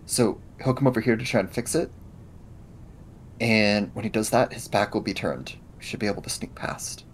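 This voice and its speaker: Casting: male tabletop role-player, low voice, recorded with rumbling background noise. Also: moderate pace. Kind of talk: narrating and role-playing in a tabletop game.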